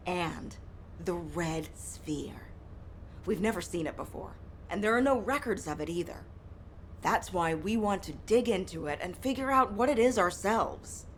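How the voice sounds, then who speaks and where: A confident woman leading an excavation crew in a video game, played by an actress. Her pitch is average and her manner keen. A faint ambient noise is behind her. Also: natural voice. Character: confident, keen female excavation team leader. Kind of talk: scripted game dialogue.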